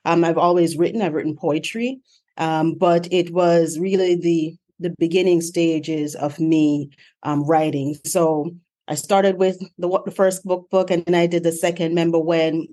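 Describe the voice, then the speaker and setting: A middle-aged woman from Jamaica, a guest in a casual interview, chatty and quick to laugh, listing what she does one item after another. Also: lowish voice, warm tone. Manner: chatty, laughs often, stacks up lists. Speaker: guest, author.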